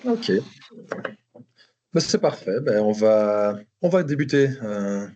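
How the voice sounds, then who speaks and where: A man's voice: fairly low pitch, expressive, takes it slowly, darkish tone, slight background noise. A man with a Belgian French accent presenting a webinar over a video call, hesitant with many restarts. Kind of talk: live online presentation.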